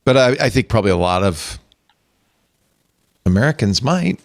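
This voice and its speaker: Older male host, animated low voice. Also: moderate pace, creaky, no room sound, studio quality. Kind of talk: casual panel chat. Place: home studio with bookshelves. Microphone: studio mic on arm.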